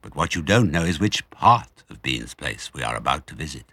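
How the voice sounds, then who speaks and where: A crafty, jovial fox father in a storybook reading, raised a little above the reader's own deep voice, as a man puts him on. He coaxes and commands with theatrical self-assurance. The sound is dry and close. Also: sing-song, moderate pace, pushed and bright, slightly rough, dry recording, exaggerated voice. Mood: sly, persuasive. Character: sly, jovial male fox father, cunning and generous. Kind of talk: audiobook character reading.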